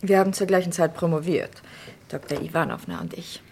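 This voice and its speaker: A woman acting a proud, cool, sharp-tongued doctor's wife in a German radio crime drama, with curt replies. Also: deep voice, very animated, fairly quick, creaky, a little hiss or hum, exaggerated voice.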